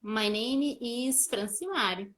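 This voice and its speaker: A woman's voice: a little high, takes it slowly, fairly bright tone, reverberant. A Brazilian woman joining a lesson as a student guest, nervous and eager, giving short answers. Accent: Brazilian Portuguese.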